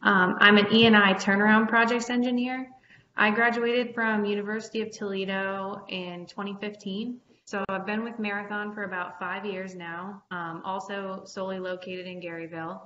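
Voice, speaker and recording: A woman in her late twenties from Ohio, presenting in a steady, businesslike way. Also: normal speed. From Ohio, United States. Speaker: projects engineer presenting. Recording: echoey room, muffled low-fi, faint hiss.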